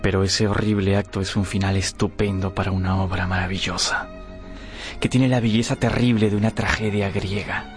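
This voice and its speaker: An actor playing a vain, impassioned young gentleman in a radio drama, speaking in an earnest, declamatory manner. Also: low voice, flat delivery, normal speed, soft background music, natural voice. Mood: detached, theatrical. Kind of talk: Spanish-language radio drama acting.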